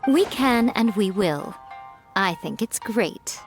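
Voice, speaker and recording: A woman's voice: medium pitch, expressive, slow pace. An actress playing a sly, self-assured, teasing woman editor in a video game, poised and theatrical. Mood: smugly pleased. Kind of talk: video game character dialogue. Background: background music.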